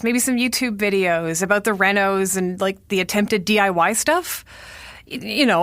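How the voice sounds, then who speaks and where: A woman's voice: fairly high pitch, fairly bright tone, dry recording. An actress playing a wry, casual woman podcaster in a found-footage audio drama, speaking conversationally. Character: young woman podcaster recounting a friend's unsettling disappearance.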